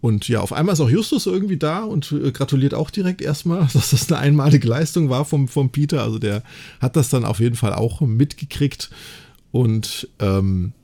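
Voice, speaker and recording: A man's voice: mid-range voice, lively intonation, normal speed, vocal fry, warm tone. A man recapping and discussing a story casually as a podcast co-host, chatty and enthusiastic, often adding little tag questions for agreement.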